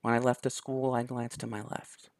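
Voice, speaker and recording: A man's voice: medium pitch, completely flat delivery, moderate pace, no echo. A man reading a visual novel aloud in first person, with small restarts. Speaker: let's play host.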